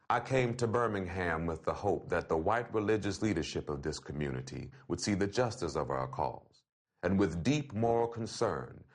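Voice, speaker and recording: A man reading an open letter aloud in a measured, deliberate, formal delivery. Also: sits low.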